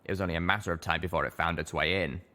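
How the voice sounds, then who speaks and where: A man's voice: deep voice, steady tone, rapid-fire, bright, forceful tone. A man voicing a jaded, sardonic century-old ghoul pony in a colt's body, rambling wearily in a storybook reading. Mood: grim.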